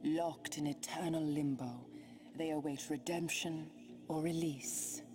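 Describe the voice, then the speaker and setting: A woman's voice: sits low, fairly even intonation, unhurried. An actress playing a ghostly, mournful female spirit in a video game, narrating in a solemn, poetic manner.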